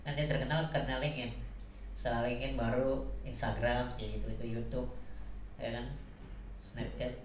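A man's voice: mid-range voice, fairly even intonation, normal speed, pauses often. A man in his twenties with a Jakarta accent, giving casual, emphatic, slangy motivational advice and often repeating his points. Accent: Jakarta Indonesian. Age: 20s. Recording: echoey room, distant mic, faint noise.

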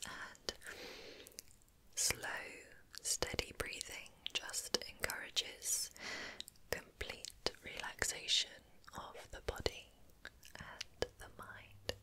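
A woman whispering softly and breathily as she gently guides a relaxation ASMR session, soothing and unhurried. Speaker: ASMR host guiding relaxation.

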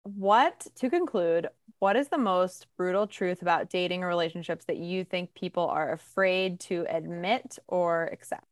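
A woman's voice: on the low side, normal speed, few pauses, no room sound, clean studio sound. A woman hosting a podcast, talking casually and emphatically with strong opinions and a lot of "like". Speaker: podcast host. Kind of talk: casual conversational podcast talk.

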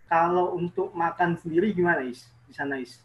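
Male host from Indonesia, fairly high voice, casual interview, slightly lo-fi sound. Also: normal speed. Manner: friendly, many fillers and repeated okays.

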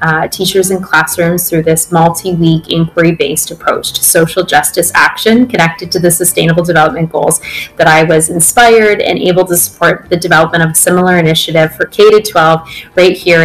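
A woman's voice: fairly low pitch, poor audio. A woman, an education facilitator, speaking fluently and with enthusiasm. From Canada. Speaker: teacher facilitator. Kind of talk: prepared spoken introduction.

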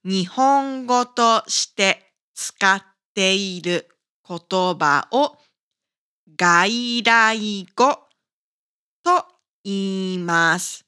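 A woman hosting a scripted language lesson for learners, speaking with careful, deliberate enunciation. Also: medium pitch, lively intonation, bright, forceful tone, smooth. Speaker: language teacher and podcast host.

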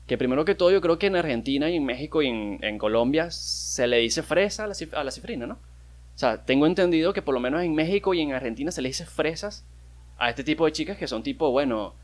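A man's voice: on the high side, animated, fairly quick. A young man with a Venezuelan accent, hosting a casual solo podcast, rambling and joking as he talks, with loose swearing and many restarts. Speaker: podcast host.

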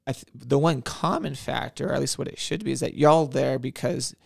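A man's voice: mid-range voice, steady tone, fairly quick, creaky, a little mellow, no room sound, studio quality. A man hosting a podcast, interviewing guests in a casual conversational style. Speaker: podcast host. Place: office, close dry sound.

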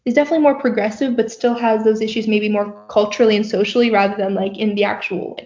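A woman's voice: medium pitch, fairly even intonation, fast talker, darkish tone. A teenage girl who grew up in Turkey, a guest telling her story in a casual interview, with lots of filler words. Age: teens. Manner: chatty, many "like" fillers. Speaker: guest, young company founder. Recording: roomy, dull lo-fi sound.

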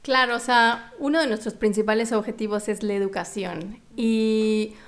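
A woman's voice: on the high side, fairly even intonation, moderate pace. A woman from Mexico with a Mexican accent, explaining things casually as an interview guest. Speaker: interview guest, founder of a volunteer project.

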